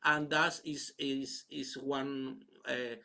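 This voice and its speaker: A man's voice: medium pitch, little pitch movement, slow, gravelly, bright, forceful tone. A man from Cuba with a Cuban Spanish accent, hesitant with fillers, answering a question as a panel speaker. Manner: hesitant, with many fillers and restarts.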